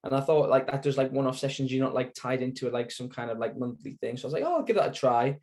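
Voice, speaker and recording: A man's voice: mid-range voice, animated, fast, a little mellow. A young man with a Sunderland accent, chatting as an interview guest in a plain mid-range voice. He rambles through his story, circling back with fillers and restarts. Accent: Sunderland (Mackem). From Sunderland, England. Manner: rambling, lots of fillers and self-corrections.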